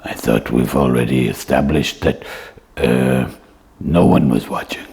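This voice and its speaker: A woman voicing a sleepy, naive, grumbling slipper puppet in a comic puppet show, gravelly and blunt with childlike questions. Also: rumbling low, a little slow, darkish tone, pitched below the performer's own voice. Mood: grumpy, sleepy. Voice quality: gravelly, croaky.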